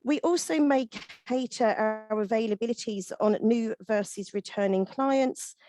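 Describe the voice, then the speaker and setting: A woman in her thirties with a husky voice, presenting a webinar as a veterinary nurse. She explains steadily and works through lists, with small fillers along the way. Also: medium pitch, darkish tone, dry recording.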